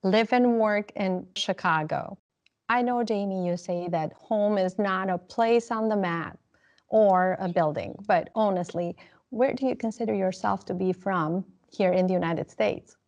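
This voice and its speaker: A woman host conducts an interview in a friendly, conversational way. She asks follow-up questions in an ordinary middle-pitched voice. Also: a little slow, a little mellow, lo-fi sound.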